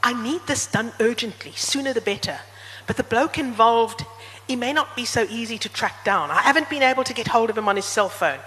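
A woman's voice: a little high, lively intonation, fairly bright tone, voice pushed up. A woman voicing a jittery, shady businessman in a storybook reading, with a wobbly voice and a bad fake Cockney accent. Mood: nervous, urgent. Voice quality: quavering, wobbly. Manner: nervous, halting, blurting. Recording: echoey hall, background noise.

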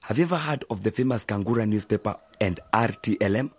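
Husky, sensible mentor. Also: male voice, fairly low pitch. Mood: serious, explanatory. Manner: measured, explanatory. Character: sensible, patient older brother figure giving advice. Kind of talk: radio drama dialogue.

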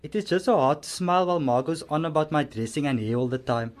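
A woman's voice: deep voice, lively intonation, fast talker. A woman playing a laid-back, casual young family member in a radio drama, with a South African accent and slangy manner. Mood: mildly reluctant. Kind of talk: amateur radio drama acting.

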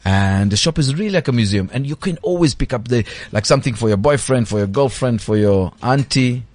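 A man's voice: medium pitch, expressive, a little fast, darkish tone. A man with a Spanish accent chatting as a guest in a radio studio, effusive and searching for words. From Spain or Spanish-speaking country. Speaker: pawn shop owner and reality show guest. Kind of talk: casual radio chat.

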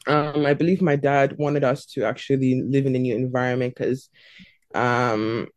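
A woman's voice: rumbling low, fairly even intonation, normal speed, darkish tone, no echo. A woman in her twenties from South Africa, thoughtfully answering interview questions as a guest. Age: 20s. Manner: thoughtful, searching for words. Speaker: stylist and guest.

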